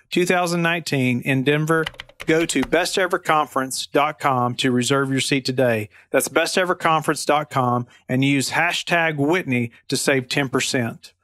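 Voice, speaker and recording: A man's voice: fairly high pitch, moderate pace, fairly bright tone, dry recording, clean studio sound. A man reading a promotional spot in an upbeat, promotional delivery. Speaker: ad reader. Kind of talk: read advertisement.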